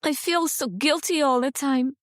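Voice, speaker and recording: A woman's voice: sits high, normal speed, no room sound, studio quality, voice pushed up, lightly acted. A woman voicing a young woman grieving her mother, shaky and tearful. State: crying.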